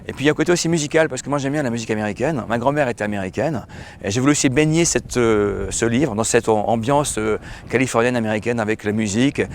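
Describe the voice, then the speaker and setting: Hoarse man answering in an interview. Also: medium pitch, slight background noise. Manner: halting, with many fillers and restarts. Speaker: crime novelist. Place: outdoor festival.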